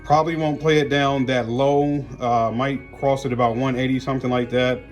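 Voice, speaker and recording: A man's voice: mid-range voice, little pitch movement, normal speed, soft background music. An American man giving casual unboxing commentary as a car audio vlogger, talking in a folksy, slangy way.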